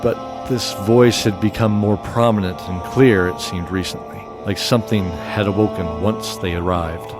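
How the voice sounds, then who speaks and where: A man's voice: lowish voice, fairly even intonation, normal speed, hardly any pauses, loud music behind the voice. A man narrating a scripted fantasy story in a descriptive storytelling style.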